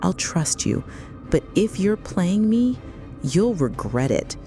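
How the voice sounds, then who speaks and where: A woman's voice: lowish voice, very animated, slow pace, dark tone, soft background music, pitched above the performer's own voice, heavily acted. A woman voicing a frightened, wary young mother on the run in a suspense folktale. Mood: warning. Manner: wary, questioning.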